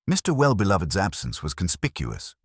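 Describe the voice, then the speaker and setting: A man as a very deep, deadpan police inspector. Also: brisk, breathy edge, dry recording, studio quality, full character voice. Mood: dry, probing. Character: dry, courteous, quietly ironic male police inspector.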